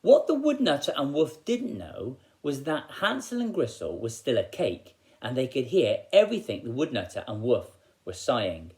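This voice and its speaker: A man with an English accent talks to children like a primary school teacher. His pitch moves about in a lively way, around an average male register. The delivery is expressive and made for storytelling. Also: normal speed, poor audio.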